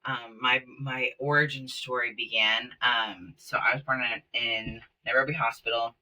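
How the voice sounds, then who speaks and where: A man raised in Kenya, a podcast guest in casual interview chat, talking with many fillers and growing lively as he retells stories. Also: mid-range voice, moderate pace, distant mic, poor audio. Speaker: podcast guest, company founder. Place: small closet studio, in person.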